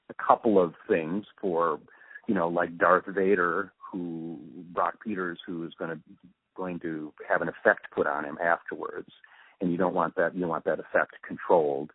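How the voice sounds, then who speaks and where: A man being interviewed, giving reflective, halting answers as he thinks aloud through technical explanations. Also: deep voice, slightly rough, dry recording. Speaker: interviewee, radio drama post-production supervisor. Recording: muffled narrow phone-like line.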